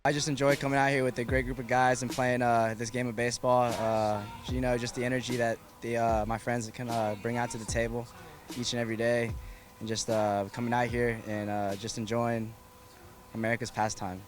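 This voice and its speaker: A teenage boy baseball player speaking haltingly, with many fillers, in a post-game interview. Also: medium pitch, flat delivery, music in the background. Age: teens.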